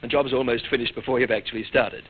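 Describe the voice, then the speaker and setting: A man's voice: mid-range voice, steady tone, fast. A man hosting a vintage radio quiz show with playful, teasing banter. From Australia. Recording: dull old radio recording, noisy studio audience.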